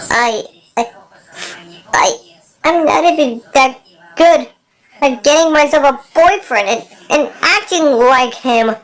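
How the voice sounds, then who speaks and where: Very high, gushing fish girl. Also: low-quality recording. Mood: self-pitying. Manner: theatrical, gushing, halting mid-sentence. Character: excitable lovelorn female fish creature, dramatic and self-pitying. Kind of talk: character voice clips for a fan cartoon.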